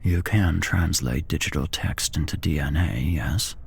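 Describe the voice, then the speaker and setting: Man whispering as a flat, gloomy, menacing stranger. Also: rumbling low, measured pace, creaky, husky, full character voice. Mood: flat, demanding. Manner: flat, depressing, terse. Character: tall gaunt mysterious British man, cold, flat, commanding. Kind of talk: whispered ASMR horror story.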